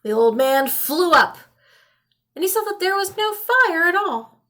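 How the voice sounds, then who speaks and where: A woman reading a children's storybook aloud in an animated narrating style. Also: very high-pitched, measured pace. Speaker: storybook reader.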